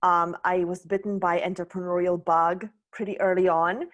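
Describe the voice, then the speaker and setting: A woman's voice: on the low side, flat delivery, normal speed, lo-fi sound. A woman from Poland telling her personal story as a podcast guest, candid and searching, often restarting her sentences.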